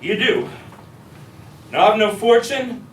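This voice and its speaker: Man playing a high, brash country suitor. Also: sing-song, slow pace, full character voice. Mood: ardent. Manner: folksy, declamatory. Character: brash, uncouth male country suitor in stage farce. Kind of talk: broad stage comedy reading. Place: restaurant, staged reading before audience. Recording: echoey room, distant mic, faint noise.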